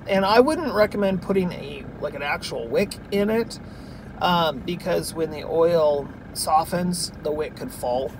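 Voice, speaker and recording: A man's voice: fairly high pitch, a little slow. A man in his thirties chatting casually on a vlog while driving, rambling with lots of ums and restarts, filmed by a dashboard camera inside his car. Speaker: vlogger. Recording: loud road and engine noise. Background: car road noise.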